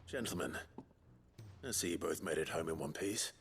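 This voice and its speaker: An actor playing a calm, kindly warrior hero in a video game, speaking in an earnest, measured way. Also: male voice, low voice, lightly acted. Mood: warm, assured. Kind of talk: dramatic video game dialogue. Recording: music under the voice.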